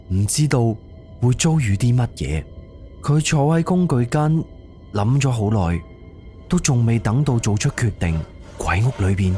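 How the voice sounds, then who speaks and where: A man's voice: mid-range voice, a little mellow. A man with a Cantonese accent narrating a scripted suspense horror audio drama.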